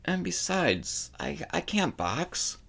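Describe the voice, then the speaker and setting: A man voicing a timid, bullied little boy who speaks softly and hesitantly in a storybook reading. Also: a little high, very animated, slow pace, creaky, husky, voice pushed up, full character voice. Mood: timid, nervous. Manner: soft, hesitant, stammering. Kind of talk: audiobook character acting.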